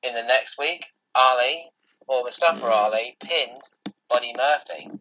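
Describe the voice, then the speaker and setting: A man's voice: medium pitch, measured pace, sharp tone. A man co-hosting a wrestling talk show, chatting with frequent restarts. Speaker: podcast co-host. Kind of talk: casual wrestling chat. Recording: dull lo-fi recording with faint hiss.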